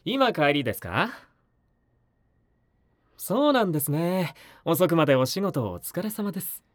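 Young male neighbour, polite, mild-mannered, quietly unsettling, low voice, very dry close recording, audio drama. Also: big pitch swings, a little slow, dry recording, heavily acted. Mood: friendly, chatty. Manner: polite, soft-spoken, conversational. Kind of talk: Japanese audio drama acting, spoken to the listener.

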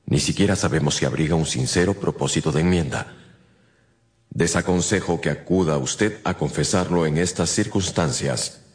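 An actor playing a brooding, guarded middle-aged priest in a radio drama, speaking formally and with measure. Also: male voice, extremely low pitch, normal speed. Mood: cold, formal. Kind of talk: Spanish-language radio drama acting.

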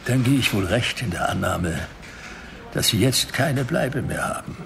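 A man's voice: mid-range voice, animated, a little slow, creaky, fairly bright tone. An actor playing a formal, officious male social worker in an audio drama. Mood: dry, officious.

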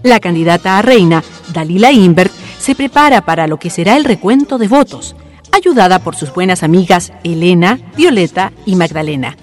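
Lively Chilean woman narrating radio history. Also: on the low side, normal speed, faint music behind. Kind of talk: scripted radio documentary-drama narration.

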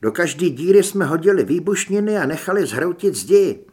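A man's voice: fairly high pitch, sing-song, darkish tone, breathy edge. A man voicing a tough, blunt, cool-headed woman monster hunter with a controlled delivery in an audiobook. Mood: intense.

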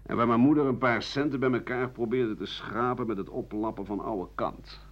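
A man's voice: medium pitch, normal speed, close to an everyday voice. An actor playing an embittered ageing writer and doctor who rambles sardonically through his memories in a radio monologue. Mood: bitter, wistful. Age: older. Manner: rambling, bitter, sardonic, with muttering and dry chuckles. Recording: dull 1980 radio play recording.

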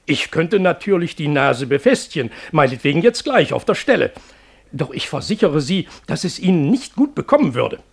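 Radio play role: unhelpful, self-satisfied doctor, gravelly and husky, blandly professional and officious. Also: male voice, a little high, sing-song, full character voice. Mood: complacent. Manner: deliberate, complacent, professional. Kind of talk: German radio drama acting.

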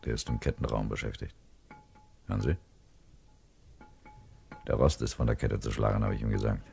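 Dutiful ship's officer, extremely deep creaky voice, matter-of-fact, subdued, 1950s radio drama, music underneath. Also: male voice, fairly even intonation, moderate pace, a little mellow, full character voice. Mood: subdued, reassuring.

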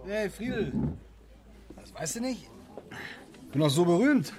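An actor playing a cagey, casual bar pianist in a radio play, evasive and given to conspiratorial hushed tones. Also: male voice, high voice, very animated, a little slow. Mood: breezy, boastful. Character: secretive, cagey bar pianist, an old acquaintance.

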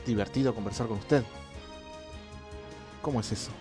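Halting male radio host over faint music. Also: lowish voice, warm tone. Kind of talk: casual chat in a comedy radio show.

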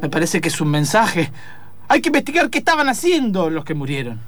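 An eager Argentine cop, performed by a man in an improvised radio play. Delivery is very lively and emphatic, circling back on words, while music plays clearly behind. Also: high-pitched, a little fast. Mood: urgent. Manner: emphatic, repetitive. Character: eager, animated male police detective sidekick. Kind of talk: improvised comic radio drama.

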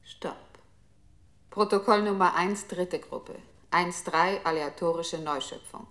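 A woman's voice: lowish voice, slow pace, a bit bright. A woman playing a computer's control unit in a 1960s German experimental radio drama, announcing numbers and commands in a clipped, formal manner. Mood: impersonal, procedural.

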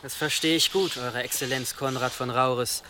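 A man's voice: medium pitch, fairly even intonation, normal speed, lightly acted. Deferential medieval adviser, male actor, noisy outdoors. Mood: deferential, calm. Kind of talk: German radio drama acting. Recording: clear outdoor nature sounds behind.

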